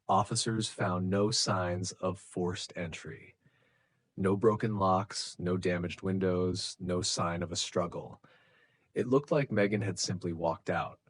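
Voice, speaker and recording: A man narrating a scripted true crime story in a measured, suspenseful way, with short deliberate sentences. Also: low voice, slightly rough. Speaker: narrator of true crime stories for English learners.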